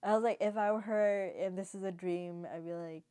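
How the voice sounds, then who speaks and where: Female vlogger, casual and conversational, medium pitch, animated storyteller who voices her own reactions. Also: fairly quick, smooth. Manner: animated retelling, acting out quoted reactions. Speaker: vlogger giving drama reactions. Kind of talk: casual chatty review to camera.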